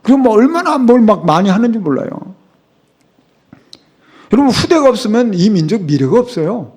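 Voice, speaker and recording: A man's voice: high voice, sing-song, normal speed, darkish tone, breathy edge. A middle-aged man preaching a sermon as a pastor, speaking emphatically and asking the congregation rhetorical questions. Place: church sanctuary.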